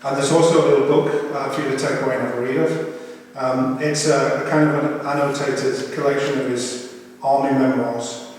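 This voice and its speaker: A man's voice: mid-range voice, level tone. Hesitant man in a very echoey, distant hall. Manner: hesitant, halting. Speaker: family member leading a funeral service. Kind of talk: informal welcome speech at a funeral. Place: crematorium chapel. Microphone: distant camera at the back of the room. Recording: very echoey chapel, distant mic, faint noise.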